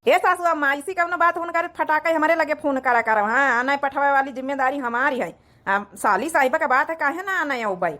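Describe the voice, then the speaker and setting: Woman playing a high-voiced jaunty Awadhi husband. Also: fairly quick, bright, forceful tone. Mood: breezy, confident. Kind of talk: cartoon comedy dialogue.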